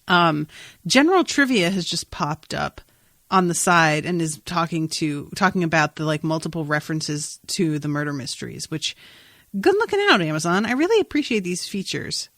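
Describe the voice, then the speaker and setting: A woman's voice: lowish voice, animated, moderate pace, fairly bright tone, no echo, clean studio sound. A woman podcast host talking through a TV episode in an informal, rambling way with exclamatory asides. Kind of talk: casual TV recap chat.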